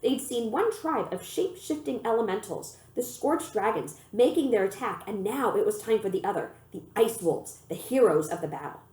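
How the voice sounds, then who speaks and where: A woman reading a children's novel aloud in an expressive, storytelling manner. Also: medium pitch, normal speed, few pauses. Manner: animated storytelling, acts out the dialogue. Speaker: library reader of children's books. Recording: echoey room.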